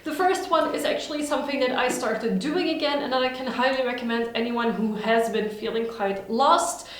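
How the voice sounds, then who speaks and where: A woman's voice: a little high, normal speed. A woman who speaks Dutch as her first language, chatting upbeat and enthusiastic in a casual vlog at home. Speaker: vlogger. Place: home room. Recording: very echoey room.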